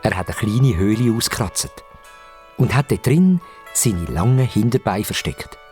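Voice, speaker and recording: A man with a Swiss German accent narrating a story for young children expressively, with dramatic pauses and repetition. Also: on the low side, animated, darkish tone, faint music behind.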